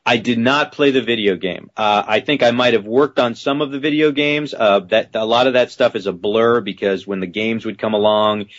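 A man who works as a voice actor, answering interview questions in a lively way and slipping into character voices as he tells stories. His voice is slightly deep. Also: normal speed, few pauses, dull audio. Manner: animated, drops into character voices while telling stories.

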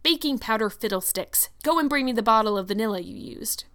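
A woman voicing a stern, practical older female guardian in a storybook, with a brisk, blunt, scolding manner. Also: a little high, lively intonation, fairly bright tone. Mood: appalled. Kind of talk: storybook character voice.

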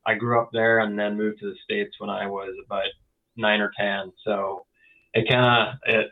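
A young man with a softened Northern Irish accent, a podcast guest talking casually and rambling with many fillers. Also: lowish voice, little pitch movement, normal speed. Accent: Northern Irish, softened by years in the US. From Northern Ireland. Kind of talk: casual interview talk. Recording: tinny phone-like line.

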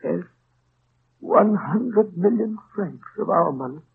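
Old dying priest, high creaky voice, slow n halting, solemn old radio drama. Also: male voice, animated, full character voice. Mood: urgent, solemn. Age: older. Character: frail old dying priest, tender fellow prisoner. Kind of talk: 1930s-40s radio drama acting. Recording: muffled, noisy old radio recording.

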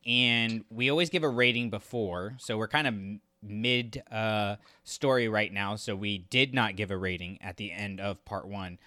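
Clear, bright-voiced man hosting a podcast. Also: fairly low pitch, moderate pace, hardly any pauses, dry recording, clean studio sound. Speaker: podcast co-host. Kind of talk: casual book discussion and recap.

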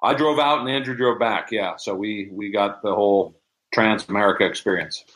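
A man's voice: on the low side, moderate pace, a bit bright, rough recording. A man chatting casually as an interview guest, thinking aloud in a folksy way. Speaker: interview guest, audio drama producer.

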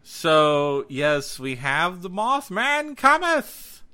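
A man hosting a podcast, chatting casually about gaming news in an excitable, slangy way, repeating words for emphasis. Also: sits high, very slow, clear, resonant tone. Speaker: podcast host and game streamer.

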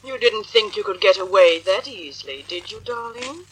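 Young murderess in an old radio play, silky sweet but dangerous, raspy, really swoopy. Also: female voice, medium pitch, lively intonation, moderate pace, dry recording, low-quality recording. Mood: silky menace. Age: young adult. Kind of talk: 1940s radio drama acting. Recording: old radio drama recording.

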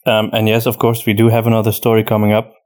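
A man's voice: lowish voice, completely flat delivery, fast, a little mellow. A man co-hosting a podcast, chatting casually as he answers listener questions. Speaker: podcast host and audio drama writer.

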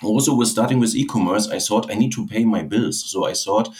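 A German man speaks English as a second language, with a clear German accent. He tells his story earnestly in simple sentences, often saying a phrase twice for emphasis. His voice sits somewhat low. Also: a little fast, a little mellow. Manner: earnest storyteller, repeats phrases, non-native grammar. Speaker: guest, online seller. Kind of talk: casual interview answers.

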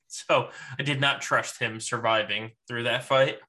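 A man with a mid-range, bright and forward voice chats as co-host of a gaming podcast. His delivery is informal and conversational. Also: medium pitch, fairly even intonation, a little slow. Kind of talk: casual chat about a mobile game.

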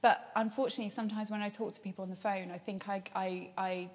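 A woman with a soft, gentle voice, talking calmly as an interview guest about her business and laughing often. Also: medium pitch, fast, in a large hall. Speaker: guest, small business owner. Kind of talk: casual interview conversation.

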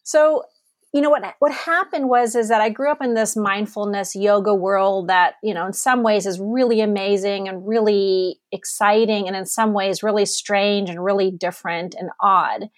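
Female guest, psychotherapist, calm explanatory tone, dry close recording. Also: medium pitch, moderate pace, dry recording. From Netherlands and Germany. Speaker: guest psychotherapist, yoga teacher. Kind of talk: podcast interview.

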